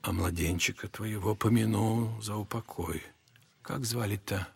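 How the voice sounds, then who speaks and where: An actor playing an old monastery elder in an audio drama, solemn and consoling like a preacher, with a husky voice. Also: male voice, lowish voice, measured pace, darkish tone, full character voice.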